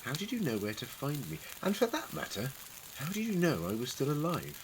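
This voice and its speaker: Male eccentric adventurer played by a man, formal, wry, gently authoritative, highly varied intonation, audio drama, noisy backdrop. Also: wildly swooping pitch, fairly quick. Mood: measured, curious. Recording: prominent crackling ambience noise.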